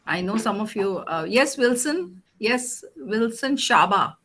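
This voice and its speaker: Woman professor, crisp bright voice, slightly high, video-call class host. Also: lively intonation, a little slow, slight background noise. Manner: encouraging, calls on students by name. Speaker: professor leading an online class. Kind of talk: online class introductions.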